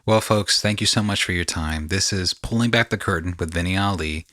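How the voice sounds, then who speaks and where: A male podcast host with a low, bright voice talks casually and reflectively. He moves in halting phrases, searching for the right word, and the sound is close and dry. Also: no room sound.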